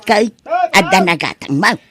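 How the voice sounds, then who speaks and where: A woman's voice: mid-range voice, animated, normal speed, a crowd in the background, lightly acted. A woman playing an elderly, grumbling mother who is stingy yet vain, in an Ilocano comedy audio drama. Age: older.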